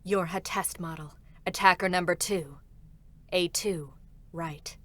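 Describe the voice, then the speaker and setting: A woman's voice: lowish voice, steady tone, a little slow, a bit bright. A woman voicing a cold, formal female android executioner who speaks politely and icily. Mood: cool. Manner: formal and icy, with a menacing laugh.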